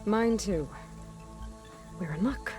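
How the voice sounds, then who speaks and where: A woman plays a young huntress heroine in a video game. Her mid-pitched voice is dry, direct and self-assured, delivered naturally with music behind it. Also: slow pace, lightly acted. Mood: wry, determined. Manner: dry, matter-of-fact.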